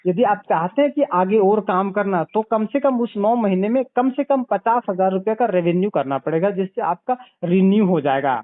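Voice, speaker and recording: A man giving a didactic sales pitch as a consultant over the phone, repeating points and checking back with questions. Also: normal speed, hardly any pauses, no echo. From Bihar, India. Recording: narrow, tinny phone call recording.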